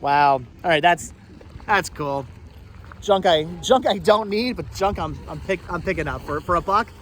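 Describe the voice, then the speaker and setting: Guy in his 40s w a high voice, outdoors w lots of noise, casual vlog chat, stammers and repeats himself, jokey. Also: normal speed. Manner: stammering, repeats words and phrases; jokey banter with companions. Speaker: vlog host. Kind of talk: casual chat, vlog commentary while shopping. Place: outdoor flea market. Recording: noisy outdoor ambience.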